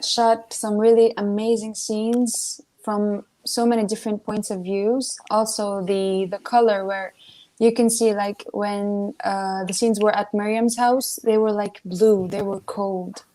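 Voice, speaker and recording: A woman's voice: mid-range voice, level tone, a little slow, warm tone, poor audio. A woman in her early twenties from Palestine, talking hesitantly with many fillers. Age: 20s. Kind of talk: casual video chat.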